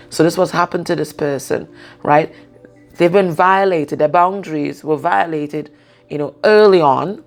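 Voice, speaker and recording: A woman speaks in a husky, low-pitched voice with plenty of gravelly fry. She talks casually and without a script, as a card reader does, pausing and checking in with the listener as she goes. Faint music plays behind her. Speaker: tarot and oracle card reader. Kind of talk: casual spoken card reading.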